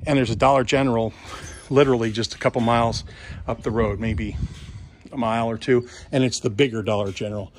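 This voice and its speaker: A man's voice: mid-range voice, steady tone, brisk, slight background noise. Folksy man touring a house. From Kentucky. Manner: chatty, folksy, salesy asides with restarts. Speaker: real estate agent touring a house. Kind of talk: casual walkthrough commentary. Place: empty house, indoors with some steps outside.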